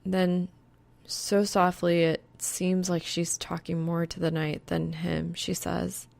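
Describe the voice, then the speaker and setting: A woman narrating a romantic fan fiction audiobook in a measured, hushed, creaky voice. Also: lowish voice, flat delivery. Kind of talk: scripted narration of a romantic story.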